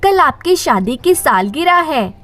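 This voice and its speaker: Woman playing a chatty, high-voiced, curious housemaid. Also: sing-song, unhurried, a bit bright, soft background music, full character voice. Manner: chatty, inquisitive.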